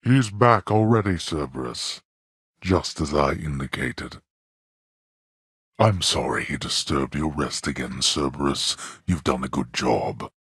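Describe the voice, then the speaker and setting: An actor playing a stern, contemptuous god-king of the underworld in a video game, haughty and commanding, with a deep, husky voice. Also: unhurried, a bit bright, no room sound, studio quality, full character voice. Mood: mocking.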